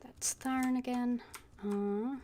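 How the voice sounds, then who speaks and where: A woman game streamer casually reading game text aloud and muttering low-key asides. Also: fairly even intonation, takes it slowly, dark tone, a little hiss or hum. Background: game music, keyboard typing.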